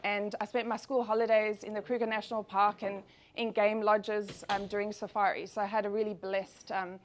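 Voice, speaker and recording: A woman's voice: mid-range voice, level tone, moderate pace, a little hiss or hum. A woman in her forties with a South African accent, giving an upbeat presentation at a networking meeting and saying um often. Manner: upbeat, speaking with frequent ums. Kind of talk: business presentation.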